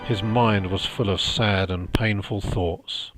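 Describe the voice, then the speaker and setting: A man's voice: on the low side, fairly even intonation, slow pace, fairly bright tone. A man reading a storybook as audiobook narrator, in scripted narration. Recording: music behind the voice.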